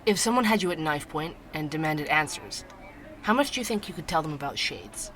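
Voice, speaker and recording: A man playing an earnest, inquisitive podcast host in an audio drama, narrating with measured, theatrical storytelling. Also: fairly high pitch, animated, pushed and bright. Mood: serious, explanatory. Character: earnest inquisitive male podcast host investigating urban mysteries. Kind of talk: scripted narration, investigative podcast.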